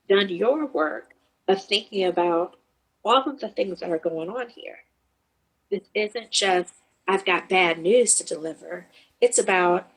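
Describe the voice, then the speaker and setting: Middle-aged woman, grief counselor guest, husky, thoughtful, slightly low voice. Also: low-quality recording. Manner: earnest, animated when acting out kids' replies. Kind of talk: reflective interview talk.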